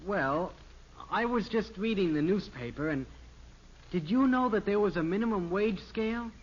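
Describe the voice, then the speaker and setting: A man's voice: high voice, lively intonation, moderate pace. A man playing an eager, wheedling American teenage son in a 1950s radio sitcom, boyish and earnest. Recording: dull, noisy old radio recording.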